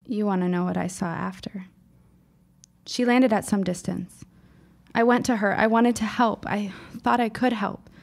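A woman's voice: mid-range voice, steady tone, moderate pace, gravelly, natural voice. An actress playing a precocious fifteen-year-old girl in an audio drama, speaking in formal, dramatic phrases. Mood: shaken, grave. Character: precocious, earnest fifteen-year-old girl writer, shaken but insistent.

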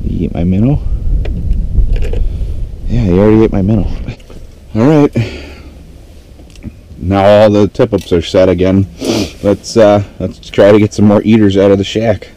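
A man giving low-key, casual running commentary to himself as he fishes, recorded on a body-worn action camera. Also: measured pace, pauses often. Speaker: fishing vlogger. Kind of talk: casual running commentary while ice fishing. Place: small ice-fishing shelter on a frozen lake, sometimes out on open ice. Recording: dark muffled sound, background noise.

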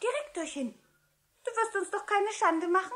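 A woman's voice: very high voice, expressive, moderate pace, a bit bright. A woman playing a cheeky, precocious little girl in a 1960s German children's radio play. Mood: playful, delighted.

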